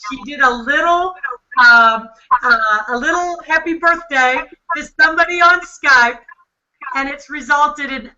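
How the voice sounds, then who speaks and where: A middle-aged woman pitches a business plan with eager, salesy enthusiasm. Her voice sits high and sounds bright and sharp. The sound is dull and lo-fi, as on a video call. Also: slight background noise. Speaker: host. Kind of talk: sales webinar presentation. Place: home office.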